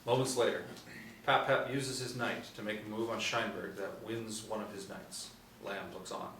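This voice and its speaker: Male narrator for script directions, lowish voice, recorded off-mic in a roomy space. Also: steady tone, moderate pace, fairly bright tone. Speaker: narrator reading stage directions. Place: indoor room, seated reading panel. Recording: roomy, distant mic, faint noise.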